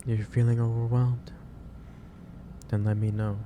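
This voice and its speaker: A man doing a soft ASMR roleplay as a gentle, caring office boss, speaking hesitantly with pauses mid-sentence as he gives advice. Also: medium pitch, little pitch movement. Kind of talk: soft ASMR roleplay, improvised monologue to the listener. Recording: clearly noisy background ambience.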